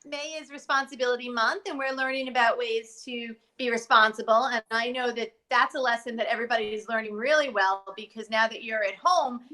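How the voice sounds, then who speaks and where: Bright, higher-pitched woman reading to children. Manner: expressive, addressing children directly. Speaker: teacher reading aloud to pupils. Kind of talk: children's storybook read-aloud.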